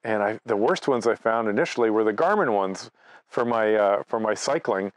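A man's voice: on the low side, animated, normal speed, no echo. Older man, dry close sound. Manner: conversational, anecdotal. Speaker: amateur radio presenter. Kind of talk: informal conference presentation, personal storytelling. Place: outdoors by trees at a cottage.

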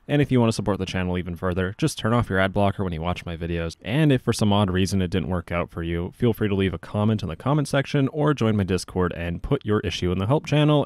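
A young man narrating a casual software tutorial in an informal, matter-of-fact way. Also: fairly low pitch, no echo, studio quality. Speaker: tutorial host.

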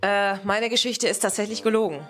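A woman's voice: medium pitch, brisk, faint music behind. A woman, telling stories casually as a player in a game show. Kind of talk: casual storytelling. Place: indoor room.

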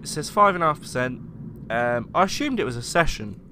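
A man's voice: on the high side, sing-song, brisk, background noise. A British man co-hosting a podcast with casual, joking banter. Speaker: podcast co-host.

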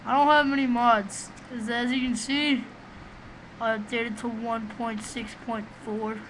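A man with a small, high voice giving rambling, profane, excitable commentary while playing a game, recorded on a webcam microphone. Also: a little slow. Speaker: gamer doing a let's play. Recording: noisy home recording.

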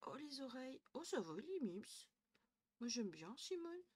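A young woman streaming from a small room at home, talking into her headset. She is tired and chatty, thinks out loud, swears lightly and often trails off into low murmurs. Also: sing-song, a little slow. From northern France. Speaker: Twitch streamer. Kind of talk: casual live-stream chat with viewers.

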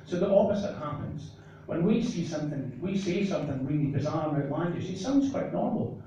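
A middle-aged man from northeast Scotland with a northeast Scottish accent, doing a deadpan, self-mocking stand-up comedy set in a small club. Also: on the high side, a little fast, highs cut off, slight background noise. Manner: deadpan, self-mocking, gestures a lot while talking. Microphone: handheld mic, filmed from far back in the crowd. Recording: echoey club from far off, crowd laughter.

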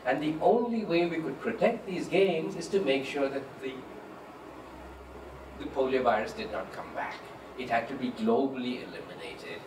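A man on a panel tells his stories in an animated, anecdotal way. His voice sits at a middle pitch and comes across from a distance. The big hall echoes around him, with room noise underneath. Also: moderate pace. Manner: animated, quotes people vividly. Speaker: author on a panel. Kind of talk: panel discussion, storytelling. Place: large lecture hall with audience. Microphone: handheld mic.

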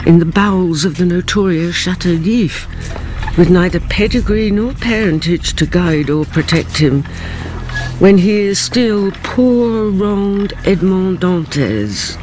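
A grave, devoted companion telling a tale in a radio drama. Her formal, theatrical delivery is slow and rises and falls widely. Music plays behind her. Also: lowish voice, expressive, darkish tone, rough recording. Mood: solemn. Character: older woman narrator, the Count's devoted companion, solemn and impassioned.

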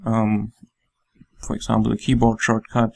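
German-accented male developer, low flat voice, calm plain explainer with ums. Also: a little slow, vocal fry. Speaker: software developer. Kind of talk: screen-recorded software walkthrough.

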